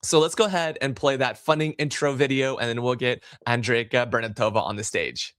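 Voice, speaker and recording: A man's voice: medium pitch, brisk, a bit bright, clear, resonant tone, dry recording, studio quality. Enthusiastic male livestream host. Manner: enthusiastic, effusive. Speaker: podcast host. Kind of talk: livestream interview hosting.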